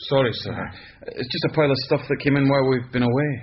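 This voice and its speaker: A male actor plays a dutiful younger police sergeant in a radio mystery. He is polite, dry and careful in reasoning. The recording is dull and clearly noisy. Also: medium pitch, fast talker, natural voice. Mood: dry, deferential. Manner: polite, hesitant, dryly reasoning. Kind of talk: radio drama acting.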